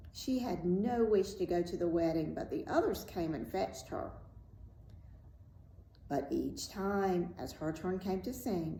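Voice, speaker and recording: Mellow woman reading a fairy tale, roomy. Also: lowish voice. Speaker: storyteller reading aloud. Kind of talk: read-aloud fairy tale narration. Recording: roomy echo with faint background noise.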